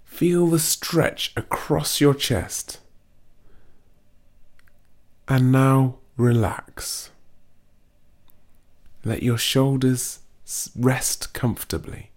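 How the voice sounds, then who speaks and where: A man reading a guided muscle relaxation script in a slow, soothing, gently instructive way. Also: mid-range voice.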